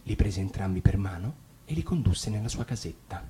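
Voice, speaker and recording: A man reading a fairy tale aloud on the radio, expressive, sinking his voice low and quiet for the sinister parts. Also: fairly quick, a little hiss or hum. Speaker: narrator. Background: guitar music.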